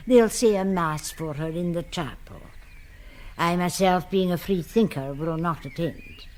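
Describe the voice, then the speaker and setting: A woman playing a brisk, unsentimental older housekeeper in a radio drama, dry and matter-of-fact. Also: lowish voice.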